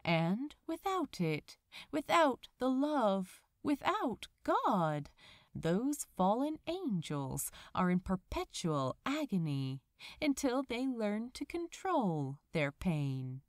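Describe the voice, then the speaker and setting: A woman reading fiction aloud as a narrator, in an expressive, measured storytelling manner. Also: mid-range voice, no room sound, studio quality. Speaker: podfic narrator.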